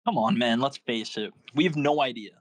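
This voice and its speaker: A man's voice: medium pitch, a little fast, dry recording, close to an everyday voice. Doubtful young male slacker, read by a man for a comedy film. Mid-range voice, hesitant, everyday slang. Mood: exasperated.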